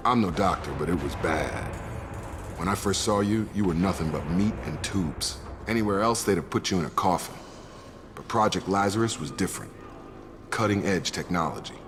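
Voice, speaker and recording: A man playing a steady, plain-spoken soldier and security chief in a video game. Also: low-pitched, normal speed, close to an everyday voice. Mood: steady, frank. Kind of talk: video game character acting. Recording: noisy battle ambience behind.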